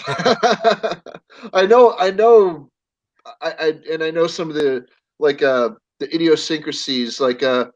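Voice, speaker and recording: An American man hosting a live stream chat, excitable and laughing, often stumbling over restarts. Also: on the high side, slow pace, dull audio. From United States. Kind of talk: casual chat.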